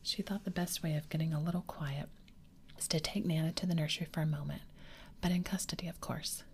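Low-voiced woman whispering a story. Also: fairly even intonation, a little hiss or hum. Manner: gentle, unhurried. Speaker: reader.

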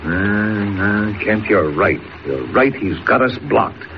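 An actor playing a blustering, impatient newspaper editor in an old radio drama, brusque and emphatic. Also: male voice, on the low side, lively intonation, slow pace. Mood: grim, determined. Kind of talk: 1940s radio acting. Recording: dull, faintly noisy old radio recording.

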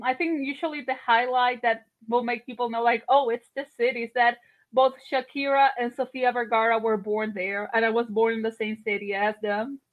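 A woman's voice: a little high, fairly even intonation, moderate pace. A woman, chatting as an interview guest with lots of fillers and restarts. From Colombia. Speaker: guest artist. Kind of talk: casual interview chat. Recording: tinny phone-like line.

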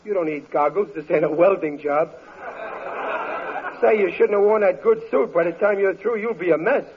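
A man's voice: high-pitched, normal speed, crowd noise. An actor playing a gullible, easily exasperated middle-aged American working man in a 1940s radio comedy, with slangy, ungrammatical, blustering speech. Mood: impatient, insistent. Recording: muffled, noisy vintage radio recording.

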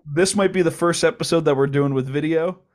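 A man's voice: on the high side, fairly quick. A man hosting a casual interview podcast, asking easygoing questions with loose restarts. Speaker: podcast host.

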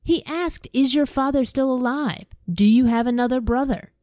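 A woman's voice: normal speed, no echo, studio quality, close to an everyday voice. A woman voicing a group of frightened grown brothers, speaking in a raised, anxious way. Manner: raised, anxious, excited pitch. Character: frightened adult male brothers pleading together.

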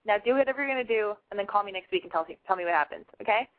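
A woman in her twenties from Los Angeles, hosting a call-in advice show, frank and gushing. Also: mid-range voice. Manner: frank, gushing, talks fast. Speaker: call-in radio advice host. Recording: tinny phone-line sound.

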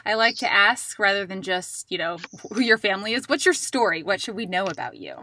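A woman's voice: medium pitch, animated, a little fast, sharp tone, a little hiss or hum. A woman hosting a podcast, chatty and encouraging as she introduces and interviews in a conversational style.

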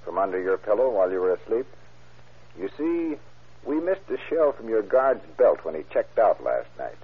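A dry husky police sergeant, shrewd, lively pitch, tinny old radio crime show. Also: male voice, moderate pace. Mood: triumphant, stern. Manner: dry, sardonic questioning. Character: shrewd, sardonic, unflappable male police sergeant. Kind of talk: 1940s radio crime drama acting.

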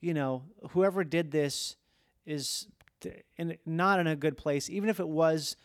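A man with a slightly high voice talks casually, as a podcast host does in conversation. His manner is informal and reflective, with some swearing, and the sound is very dry. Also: measured pace, dry recording. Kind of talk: casual chat.

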